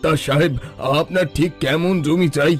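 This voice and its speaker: A man voicing a greedy, cunning village headman who smooth-talks and schemes in a cartoon story. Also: on the high side, fairly even intonation, normal speed, close to an everyday voice. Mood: flattering. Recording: soft background music.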